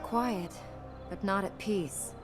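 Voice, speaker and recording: A woman's voice: mid-range voice, takes it slowly, lightly acted. An actress playing a composed, earnest young female companion on a quest in a video game, speaking in short serious lines. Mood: solemn, composed. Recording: loud music behind the voice.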